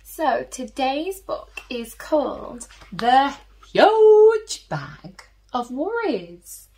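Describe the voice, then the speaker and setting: Slow, lively woman reading a children's story. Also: high-pitched. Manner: slow, animated storytelling with drawn-out emphasis and hushed asides. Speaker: teacher reading children's stories, host. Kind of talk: expressive picture-book read-aloud for children.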